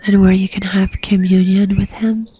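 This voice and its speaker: A woman leading a guided religious meditation in a soft, near-whispered voice, gentle and calm, leaving long pauses. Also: medium pitch, breathy edge.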